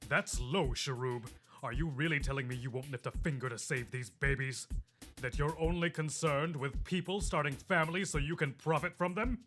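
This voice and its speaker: A man's voice: a little high, moderate pace, fairly bright tone, soft background music, natural voice. A man playing a dogged, earnest, quirky police detective in an audio drama, probing and melodramatic. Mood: accusing.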